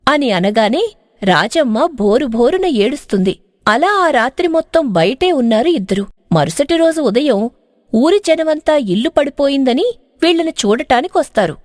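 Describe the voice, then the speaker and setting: A woman narrates a story in a high voice with very lively intonation. Her delivery is dramatic and scripted, like a storyteller's. The sound is close and dry. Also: normal speed, dry recording.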